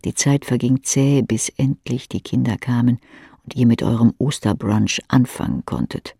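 A woman's voice: extremely low pitch, moderate pace, gravelly, warm tone, husky, dry recording, clean studio sound. A woman reading scripted literary narration in the second person for an audio drama.

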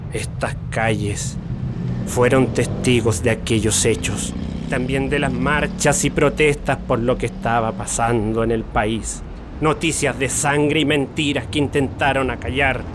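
An actor playing a courteous middle-aged Chilean father, grieving and reflective, speaking haltingly in a radio drama. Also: mid-range voice, background noise, close to an everyday voice. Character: grieving, courteous Chilean father recalling his lost son. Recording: music bed under the voice.

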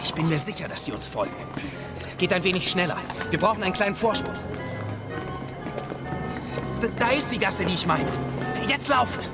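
A plucky travelling player for a children's radio drama. His voice sits high and moves animatedly, with a commanding, theatrical edge. Music plays prominently behind him. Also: animated. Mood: urgent. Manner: commanding, theatrical, quick-witted. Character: plucky, bold travelling actor guarding children.

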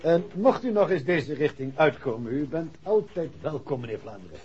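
A man's voice: on the high side, sing-song, moderate pace, darkish tone, slight background noise. An actor playing a genial, obliging bank manager in a 1960s radio drama, chatty and hesitant, repeating himself as he thinks. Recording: dull, muffled 1960s radio recording.

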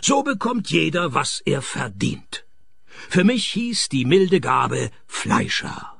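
An actor playing a cynical, hard-up private detective with deadpan wit, narrating and talking in a scripted radio play. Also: male voice, on the high side, slow, vocal fry, husky, no echo, heavily acted. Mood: sardonic. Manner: deadpan, sardonic, dry wit.

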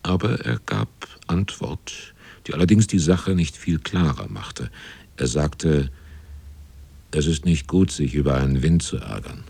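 Very deep, husky seafaring narrator. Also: male voice, expressive, mellow, dry recording, heavily acted. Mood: measured. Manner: measured, terse dramatic phrasing. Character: grave seafarer recounting a hard voyage. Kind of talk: literary scripted narration in a radio drama.

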